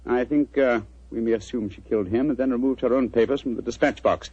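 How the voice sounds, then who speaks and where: An actor playing a self-assured English gentleman detective in 1940s radio drama style, clipped and commanding, with a British accent. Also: mid-range voice, fairly even intonation, quick pace, slightly rough, dry recording, lightly acted. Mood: assured, explanatory. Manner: clipped, authoritative, explanatory. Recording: dull old 1940s radio recording.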